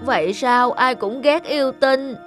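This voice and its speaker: A lonely young goblin from a cartoon, speaks in a high, plaintive voice. The misunderstood little monster talks slowly over clear music. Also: female voice, fairly bright tone. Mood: sad, wistful. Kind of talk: Vietnamese cartoon voice acting.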